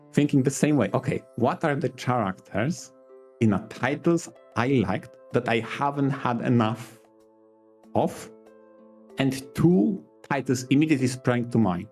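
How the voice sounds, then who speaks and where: A man with a medium-pitched voice co-hosts a casual podcast. He talks in a hesitant way, working out his thoughts aloud, with music playing behind him. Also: a little mellow. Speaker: podcast co-host. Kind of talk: casual podcast chat about visual novels. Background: background music.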